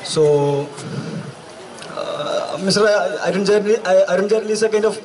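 A man's voice: sits high, unhurried, darkish tone. A man in his twenties with an Indian accent giving an emphatic political speech, repeating his phrases for weight. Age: 20s. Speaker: student activist speaker. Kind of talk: impassioned political speech at a rally. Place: outdoor night rally stage. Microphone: handheld microphone through PA. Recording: distant PA sound with crowd around.

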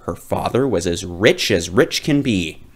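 A man reading a children's picture book aloud as a storyteller, with dramatic, drawn-out emphasis. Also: low-pitched, lively intonation.

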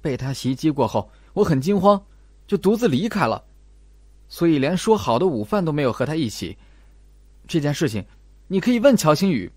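A man acts a young student in an audiobook mystery, composed yet defensive. The self-justifying lines have lively intonation and a dry, close sound. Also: a little high, normal speed, no room sound. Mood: cool denial. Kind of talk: audiobook character acting.

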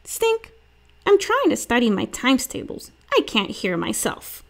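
A woman's voice: a little high, wildly swooping pitch, measured pace, voice pushed up, exaggerated voice. A woman voicing a bossy, complaining young girl, an older sister in a children's storybook. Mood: exasperated. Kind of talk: children's storybook character reading.

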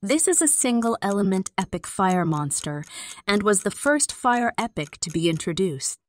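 A woman reading scripted quiz narration as the narrator, in a measured announcer style. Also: medium pitch, sing-song.

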